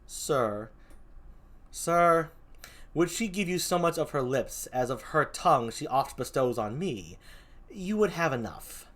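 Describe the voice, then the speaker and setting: Person doing a sardonic soldier husband, teasing n biting, shakespeare read, pitch all over. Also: lively intonation, unhurried, fairly bright tone. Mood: dry mockery. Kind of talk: amateur Shakespeare read-aloud.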